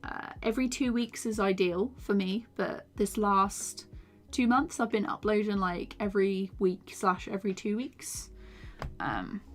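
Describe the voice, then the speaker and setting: A young woman chatting casually while she animates on a live stream, her voice tired from a day of talking, often laughing and drifting into soft mumbles as she works. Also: medium pitch, a little slow, faint music behind. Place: small home room, indoors. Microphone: desk microphone with foam cover.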